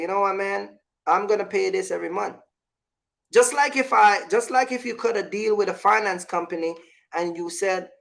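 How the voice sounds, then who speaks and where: A man's voice: high-pitched, creaky, a bit bright. A man talking casually as a vlog host, giving business advice and personal stories in an emphatic, repetitive way, often checking in with the listener.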